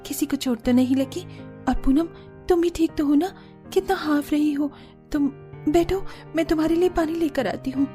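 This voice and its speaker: A woman voicing a worried young daughter-in-law in a cartoon drama, earnest and plaintive, with a soft voice. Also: high voice, normal speed, soft background music, lightly acted.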